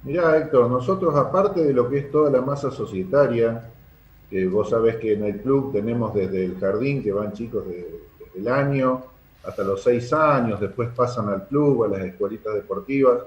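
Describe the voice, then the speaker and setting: A man's voice: medium pitch, moderate pace. An Argentine man answering interview questions as a club official, speaking hesitantly and searching for his words. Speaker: sports club secretary being interviewed. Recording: dull, echoey room sound.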